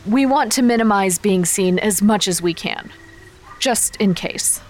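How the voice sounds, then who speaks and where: A woman acting in an audio drama as a gentle, supportive member of a team. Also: mid-range voice, measured pace, faint music behind, natural voice. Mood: practical.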